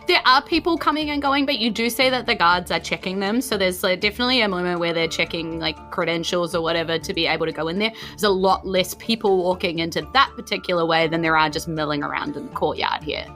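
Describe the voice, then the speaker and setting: A woman's voice: fairly low pitch, fast, few pauses, fairly bright tone, soft background music. A woman with a New Zealand accent running a tabletop game, improvising her narration in an informal, meandering, conversational way. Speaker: game master.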